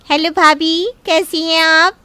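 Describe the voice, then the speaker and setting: A woman's voice: very high-pitched, level tone, takes it slowly, sharp tone, no room sound, exaggerated voice. A woman voicing a spoiled, entitled young sister-in-law in an audio story, pushy and wheedling. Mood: sweetly chirpy. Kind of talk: dramatised Hindi story acting.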